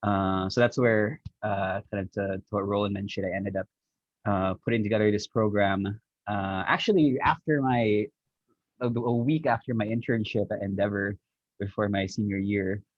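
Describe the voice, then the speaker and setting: A man from the Philippines and the US Midwest, telling his story casually and haltingly as a guest on a video call, with lots of filler "like". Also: on the low side, steady tone, dry recording, dull audio. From born in the Philippines, raised there and in the US Midwest. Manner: halting, peppered with "like". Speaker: startup founder, panel guest.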